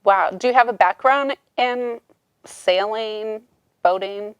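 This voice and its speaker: A woman's voice: mid-range voice, takes it slowly, clear, resonant tone, no room sound, dull audio. A woman interviewing an author, chatting casually and encouragingly. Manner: enthusiastic, encouraging.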